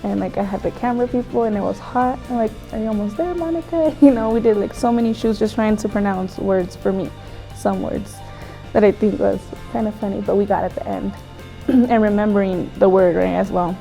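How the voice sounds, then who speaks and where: A woman actress with a Mexican accent, Spanish being her first language, chats warmly and laughs often while her English comes out a little halting. Her voice is gravelly with a mellow, dark tone, and faint music plays behind her. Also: medium pitch. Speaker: lead actress. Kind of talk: festival interview, conversational.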